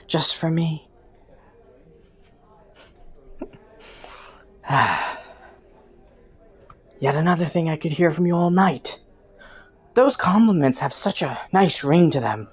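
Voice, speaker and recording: Low-voiced, flirty, smug criminal informant played by a woman. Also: a little mellow, breathy edge. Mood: flirty, sultry. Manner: teasing, playful, drawn-out words with chuckles and sighs. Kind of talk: scripted roleplay audio, intimate second-person monologue.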